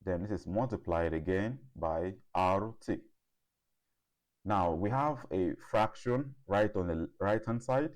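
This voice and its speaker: Man, low voice, tutor, step-by-step instruction, deliberate delivery, frequent check-ins. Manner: deliberate, checks in with "okay" and "right". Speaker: chemistry tutor.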